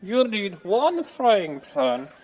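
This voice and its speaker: A man playing a self-important TV chef giving a mock cookery demonstration in an audio comedy, in a grandiose, drawn-out way. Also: high-pitched, big pitch swings, a little mellow, exaggerated voice. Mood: grandiose, deliberate. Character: slow, self-important TV chef Jordan Searam.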